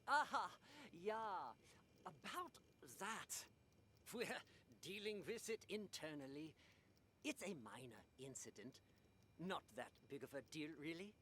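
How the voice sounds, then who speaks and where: A woman's voice: fairly low pitch, animated, slow pace, a bit bright, slight background noise. An actress playing a young, evasive mental institution administrator in a radio drama, chatty and hesitant as she over-explains. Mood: nervous, evasive. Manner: breezy, nervous, over-explaining with hesitant trailing off. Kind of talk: interactive radio drama acting.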